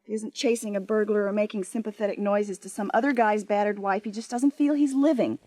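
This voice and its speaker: A woman's voice: mid-range voice, steady tone, fast talker, dark tone, no echo, lightly acted. A woman playing a tipsy, bored American wife in her twenties, flippant and cynical, in a radio drama. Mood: tipsy, bitter. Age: 20s. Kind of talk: naturalistic radio drama acting.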